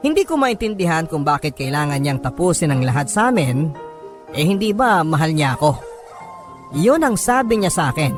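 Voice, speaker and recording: Higher-pitched Filipino man narrating over music. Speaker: radio host reading a listener's letter. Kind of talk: dramatic scripted first-person narration. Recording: music bed under narration.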